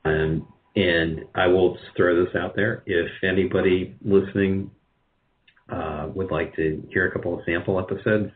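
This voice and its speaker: A man giving casual interview answers in a halting, self-deprecating way over a phone-like connection. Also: low-pitched. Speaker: guest, radio drama producer. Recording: tinny phone-like line.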